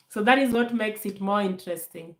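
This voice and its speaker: A woman from Kenya hosting a casual live Q&A, calm and unhurried, laughing often, still getting over a bad cold. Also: medium pitch, reverberant. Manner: calm, slow, laughs often, many fillers. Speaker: livestream host. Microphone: phone held to camera.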